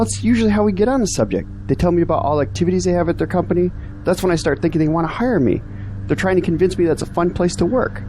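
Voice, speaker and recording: A man's voice: on the high side, noisy background, lightly acted. A man playing an earnest, discouraged young graduate in an audio drama, complaining about his troubles. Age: young adult.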